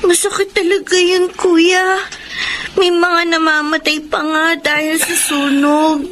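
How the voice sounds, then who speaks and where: A woman's voice: extremely high pitch, little pitch movement, takes it slowly, a bit bright, exaggerated voice. An actress playing an earnest young girl, a little sister, in a Filipino radio drama. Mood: earnest, sad. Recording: noisy ambience behind.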